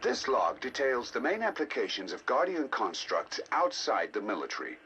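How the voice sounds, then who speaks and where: Bright, animated male scientist, measured delivery. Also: mid-range voice, muffled recording, slight background noise. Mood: measured, scholarly. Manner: measured, articulate, intrigued. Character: learned male researcher, eager and fascinated by alien ruins. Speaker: scientist narrating research logs. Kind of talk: scripted game audio log narration.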